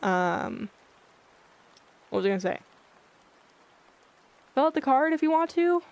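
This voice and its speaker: A livestreamer chatting casually with viewers in a monotone, blunt-sounding way, often losing their train of thought. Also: sits high, measured pace, gravelly, low-quality recording. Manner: rambling, loses track of thoughts. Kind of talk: casual chat with live viewers. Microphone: filtered streaming mic.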